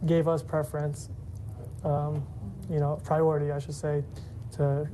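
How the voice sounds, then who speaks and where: A woman introducing her background in a relaxed, chatty way with fillers. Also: deep voice, unhurried, slight background noise, poor audio. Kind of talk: unscripted self-introduction.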